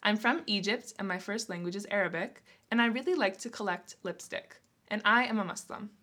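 Breezy, friendly nonbinary character for a children's story, acted by a woman; crisp, bright tone. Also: medium pitch, a little fast. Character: breezy nonbinary Egyptian lipstick collector.

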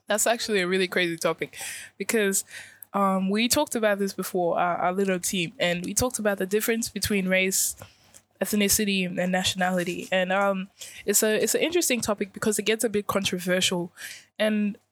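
A woman's voice: medium pitch, steady tone, a little fast, dry recording. A teenage girl co-hosting a youth panel discussion, speaking earnestly and thoughtfully, often restarting phrases as she explains. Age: teens. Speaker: youth podcast co-host.

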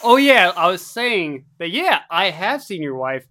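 A young woman co-hosting a casual chat, animated and talkative, often laughing. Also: lowish voice, a little slow, background noise. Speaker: podcast co-host.

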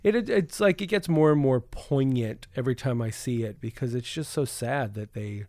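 A man's voice: medium pitch, moderate pace, a little mellow, no room sound, studio quality. A man co-hosting a podcast, talking earnestly and reflectively in casual chat. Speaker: podcast co-host.

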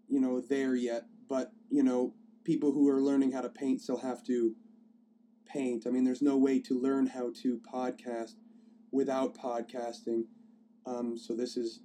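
A hoarse man with a cold hosting his own podcast, rambling casually with many fillers and restarts as he streams live from his phone. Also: medium pitch, dark tone, a little hiss or hum. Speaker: podcast host. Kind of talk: casual solo podcast talk.

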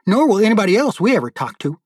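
An indignant male club president from an audiobook thriller, acted by a man narrating. He pitches his words high and hits them hard, accusing and furious. The sound is close and dry. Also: sing-song, rapid-fire, breathy edge, no room sound, heavily acted. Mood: angry accusing. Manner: accusing, emphatic.

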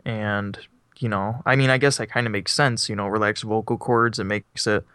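A man's voice: on the low side, steady tone, moderate pace, a bit bright, clear, resonant tone, no echo, clean studio sound. A young man co-hosting a casual podcast from his couch, rambling and self-deprecating, laughing often. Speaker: podcast co-host. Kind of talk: casual chat. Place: on a couch at home. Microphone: microphone set up beside him.

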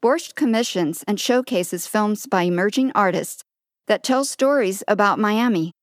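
A woman's voice: medium pitch, a little slow, no echo. Polished woman narrator, dry close sound. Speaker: interviewer and narrator. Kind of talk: scripted narration and interview.

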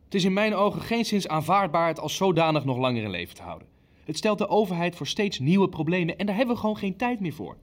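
A man's voice: high voice, sing-song, fast. Blunt, ruthless male hardliner. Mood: cold, insistent. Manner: blunt, clipped, authoritative. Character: male Nazi official, ruthless, impatient hardliner. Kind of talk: Dutch audio drama acting, naturalistic meeting dialogue.

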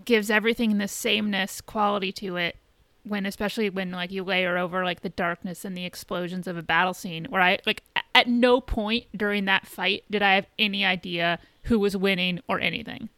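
Clear-voiced woman, blunt and dry-sounding. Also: medium pitch, fairly even intonation, moderate pace, sharp tone, dry recording. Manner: blunt, informal, profane. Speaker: podcast co-host. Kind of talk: casual chat reviewing a TV episode.

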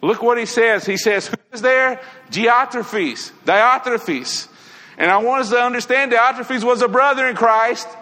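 Earnest high-voiced male preacher, reverberant hall. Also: unhurried, pushed and bright. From Tennessee, USA. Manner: earnest, conversational, addresses congregation directly. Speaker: preacher giving a morning lesson. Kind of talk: sermon with prayerful asides.

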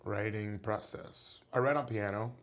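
Man, low voice, musician guest, casual interview talk, relaxed, lots of fillers. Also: a little slow, gravelly. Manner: relaxed, rambling with many fillers.

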